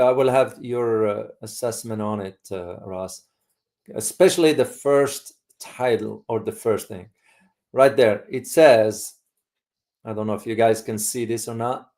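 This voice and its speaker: A man from Morocco hosting a casual live community discussion, speaking haltingly and often repeating his words. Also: mid-range voice, a little mellow. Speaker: host.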